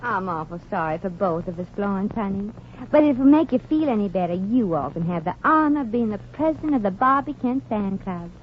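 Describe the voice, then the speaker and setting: A woman's voice: medium pitch, lively intonation, a little fast, lo-fi sound, natural voice. An actress playing a brassy, folksy, wisecracking young American woman in a 1940s radio comedy. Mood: breezy, folksy. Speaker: lead actress and narrator of a comedy series. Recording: noisy, band-limited old radio recording.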